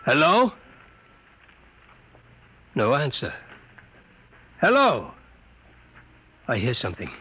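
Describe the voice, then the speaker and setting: An astonished American space crewman in an old radio play. His mid-range voice swings expressively and exclaims readily, over a clearly hissy recording. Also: mid-range voice, wildly swooping pitch, slow pace, long pauses, sharp tone, heavily acted, low-quality recording. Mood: alarmed. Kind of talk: 1950s radio drama acting.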